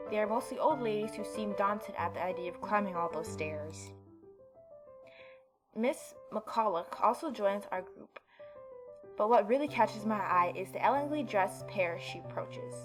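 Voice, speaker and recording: A woman's voice: mid-range voice, expressive, unhurried, over music. A woman hosting a let's play, reading a visual novel aloud and adding informal, reactive asides.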